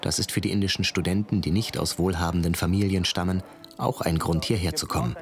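A man's voice: deep voice, fairly even intonation, fairly quick. A man reading scripted narration for a television documentary. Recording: music behind the voice. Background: background music.

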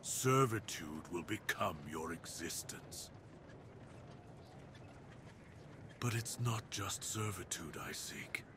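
Man acting a monstrous wolf lord, low-pitched, forceful edge, grave and commanding, ASMR roleplay, ambient noise behind. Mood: solemn. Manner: commanding, grave, deliberate theatrical delivery. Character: menacing, proud male dire wolf beast lord.

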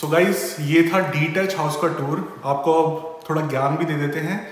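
Vlogger guy, slightly high voice, casual hindi english mix, big echo. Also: steady tone, moderate pace. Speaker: vlog host. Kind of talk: casual vlog chat. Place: large house interior, indoors. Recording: echoey rooms with long reverb.

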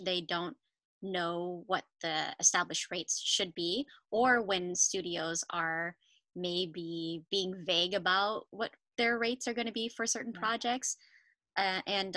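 A young woman guest speaking over a video call, thoughtful and hesitant with frequent pauses and fillers. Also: on the low side, pushed and bright, no echo, rough recording. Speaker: guest voice actor. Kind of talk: casual video-call interview chat.

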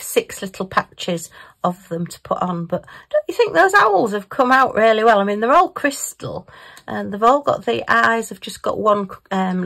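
A woman's voice: mid-range voice, gravelly, fairly bright tone. A woman chatting casually in a crafting vlog, giggly and self-mocking, recorded at her home craft table. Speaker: crafting vlogger.

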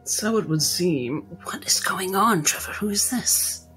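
A woman voicing a shrewd, imperious lady doctor with a genteel, measured way of talking in a tabletop game. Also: medium pitch, animated, a bit bright, soft background music, rough recording. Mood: shrewd, composed.

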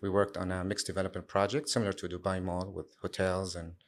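A man in his thirties from Syria, answering interview questions in a relaxed way with many fillers and restarts. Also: sits low, fast, a little hiss or hum. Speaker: guest, sustainability lead.

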